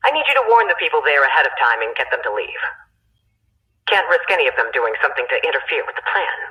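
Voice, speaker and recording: A woman playing a confident, commanding resistance leader, giving deliberate mission briefings in a video game. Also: deep voice, sing-song, highs cut off. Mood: resolute, serious.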